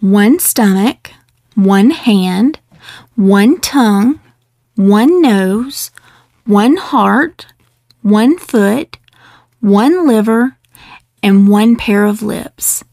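A woman reading a picture book aloud in a measured, expressive storytelling delivery. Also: mid-range voice, no room sound, studio quality. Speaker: children's book reader. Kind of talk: scripted storybook read-aloud.

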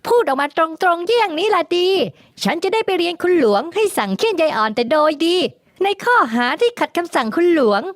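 A man's voice: very high-pitched, sing-song, a little fast, hardly any pauses, dry recording, pitched above the performer's own voice, heavily acted. A male narrator voicing a haughty, spiteful young woman who scolds shrilly and sweet-talks her master. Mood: scolding.

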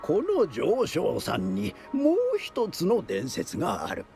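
Game storyteller: dramatic, knowing, unhurried delivery with huge melodic swings, music behind. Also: male voice, on the high side, wildly swooping pitch, vocal fry, exaggerated voice. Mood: knowing, theatrical. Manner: theatrical, deliberate, suspenseful. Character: theatrical male storyteller spinning eerie mountain legends, dramatic, knowing. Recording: loud music under the voice.

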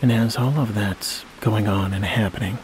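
A man with a lowish voice guides a sleep meditation. His delivery is very even, slow and soothing, with long pauses between short phrases. A clear wash of rain-like noise runs behind his voice. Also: low-quality recording. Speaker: hypnosis guide.

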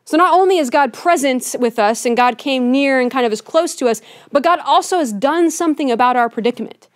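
High-voiced woman preaching, dry sound. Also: expressive, a little fast, dry recording. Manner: conversational and earnest, with frequent hedges like "kind of". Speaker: pastor. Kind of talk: Christmas sermon. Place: church sanctuary with a Christmas tree.

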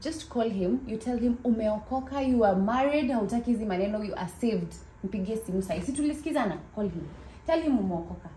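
A woman's voice: fairly high pitch, lively intonation, fast talker, warm tone, lightly acted. A young woman playing a suspicious, bossy girlfriend in a comedy skit, with a Kenyan accent. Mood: demanding. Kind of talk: scripted comedy skit dialogue, mixing Swahili and English. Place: living room indoors, sofa. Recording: roomy, with background noise.

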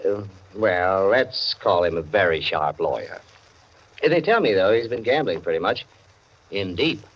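An actor playing a suave, arch bachelor broker in 1940s radio drama, urbane, formal and insinuating. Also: male voice, sits low, expressive, normal speed. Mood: dry, knowing. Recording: muffled, worn 1940s radio recording.

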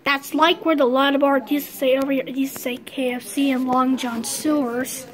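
A woman's voice: sits high, steady tone, normal speed. A woman filming a casual walk-through vlog outside a closed restaurant, rambling and often shrugging things off with "well, whatever.". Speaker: vlogger exploring an abandoned building. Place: outdoors around a closed restaurant building.

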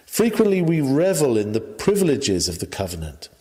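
A man reading an old sermon aloud in a measured, formal, earnest preaching manner. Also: medium pitch, wildly swooping pitch, dark tone. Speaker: reader of a historical sermon. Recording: long hall-like reverb.

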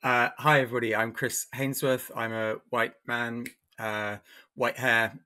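A middle-aged man introducing himself and explaining his arts group on a video call panel, speaking through a headset in a plain, measured way. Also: medium pitch, level tone, bright, forceful tone. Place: home room.

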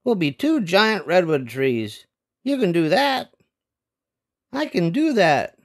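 An older man voicing restless, imaginative young boys in an expressive, dramatic storybook read-aloud. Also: high-pitched, slow pace, vocal fry, heavily acted. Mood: bossy, earnest. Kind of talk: animated storybook read-aloud with character voices.

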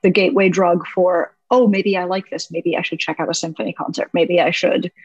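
A woman's voice: on the low side, a little mellow, husky, no echo, lo-fi sound. A woman hosting a podcast interview, chatting casually and affirming her guest with an engaged manner. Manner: engaged, affirming, quick back-channel replies. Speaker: podcast host.

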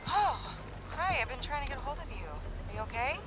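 An actress playing a caring, worried American wife in a video game, gentle and conversational. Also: female voice, high voice, big pitch swings, fairly quick, very noisy. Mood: concerned, tender.

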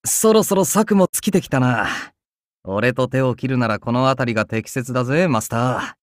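Male actor for young game archer: roguish, wry, teasing slacker, casual drawl, animated intonation, dry studio sound. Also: mid-range voice, normal speed, dry recording, close to an everyday voice. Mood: resigned, loyal. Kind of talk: Japanese mobile game character voice lines.

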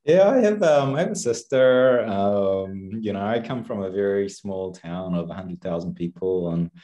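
A man's voice: fairly low pitch, expressive, normal speed, warm tone. A man with a New Zealand accent, a guest in a casual video-call interview, talking hesitantly with many ums and restarts through a headset mic. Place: small room with chalkboard, indoors.

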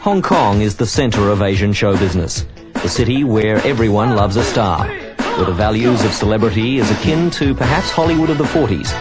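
Low-voiced male reporter over music. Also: expressive, moderate pace. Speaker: interviewer and reporter. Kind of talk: scripted narration and interview questions.

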